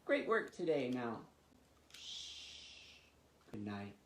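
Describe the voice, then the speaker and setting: A man's voice: mid-range voice, big pitch swings, takes it slowly. Man leading children's storytime in echoey room. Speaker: children's library storytime host. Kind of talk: reading picture books and leading finger rhymes. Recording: roomy echo with faint background noise.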